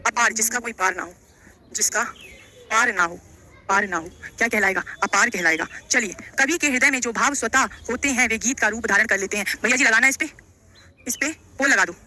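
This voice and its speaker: A woman's voice: a little high, fairly quick, pushed and bright. A woman teaching a live online lesson, explaining quiz questions in an instructive way, repeating phrases and putting questions to her students. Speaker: Hindi grammar teacher for exam coaching.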